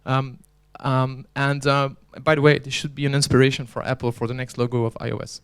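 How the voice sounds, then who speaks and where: Clear-voiced man giving a joking conference talk. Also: medium pitch, level tone, fairly quick. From Belgium. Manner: chatty, joking, restarts often. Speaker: conference speaker and organizer. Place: conference hall.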